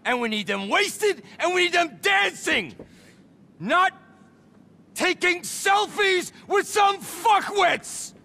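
Vain frazzled nightclub owner in a video game, high bright voice, very theatrical, sweary. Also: male voice, sing-song, normal speed, heavily acted. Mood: ranting, grandiose. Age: middle-aged. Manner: flamboyant, theatrical, profane. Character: vain, flamboyant, frazzled middle-aged male nightclub impresario. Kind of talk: video game cutscene acting.

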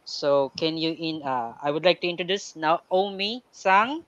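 A man's voice: fairly high pitch, unhurried, fairly bright tone, dry recording, highs cut off. A man hosting a live online orientation, politely asking questions and thanking his guests over a headset. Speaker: host of the orientation.

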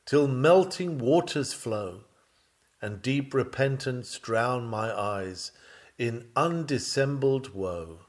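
A man reading an old-fashioned sermon dramatically, with solemn, fervent and measured oratory. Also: mid-range voice. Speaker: sermon reader.

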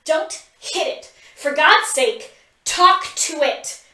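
A woman playing a bossy, shouting heroine. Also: high-pitched, lively intonation, slow pace, voice pushed up, exaggerated voice. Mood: scolding. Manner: shouting commands. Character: fierce young woman adventurer, bossy and loud. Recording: echoey room.

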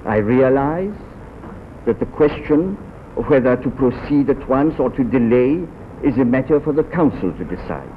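Measured diplomat on tinny old film. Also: male voice, mid-range voice, expressive. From Iran. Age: middle-aged. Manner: measured, formal, deliberate. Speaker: diplomat, ambassador. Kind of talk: formal prepared statement read aloud. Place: large council chamber. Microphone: table microphone. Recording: tinny, noisy 1940s newsreel archive.

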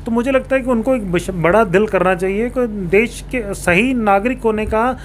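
A man's voice: high voice, moderate pace. A man, answering formally as a local politician and explaining his points with English terms mixed in. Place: small indoor office, seated on a sofa. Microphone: handheld reporter mic held toward him. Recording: clear background room noise.

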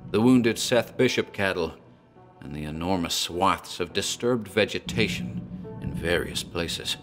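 A man's voice: low voice, a bit bright. A man narrating a horror tale in a theatrical, storytelling manner, as a tavern landlord.

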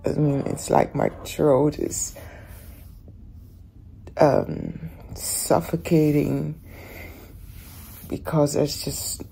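Raspy-voiced woman, slow and soft. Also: sits low, flat delivery. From Netherlands. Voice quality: raspy in the morning. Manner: soft, often whispering in the dark. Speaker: vlogger. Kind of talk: casual morning vlog. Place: hotel room, indoors.

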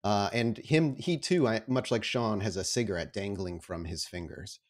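A man's voice: fairly low pitch, moderate pace. A man narrating a tabletop role-play scene as the storyteller, setting it out descriptively. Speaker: game master, storyteller.

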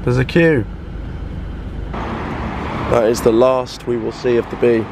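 A slightly hoarse young man, chatting upbeat as vlog host from a car, filmed at arm's length. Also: mid-range voice, fairly even intonation, slow pace. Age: young adult. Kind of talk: casual car vlog chat. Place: inside a car and a car dealership. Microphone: handheld camera at arm's length. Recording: noisy car and street sound. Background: engine and road noise.

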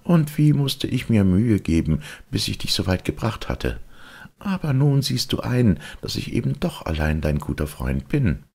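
A man's voice: lowish voice, sing-song, normal speed, gravelly, darkish tone, slightly rough, pitched above the performer's own voice, exaggerated voice. A man voicing a smug, cocky schoolboy in a storybook, boastful and insistent.

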